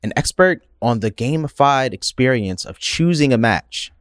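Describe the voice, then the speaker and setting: A man's voice: mid-range voice, animated, moderate pace, no room sound, clean studio sound. A man co-hosting a podcast, introducing guests and asking questions in an agreeable way. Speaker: podcast co-host.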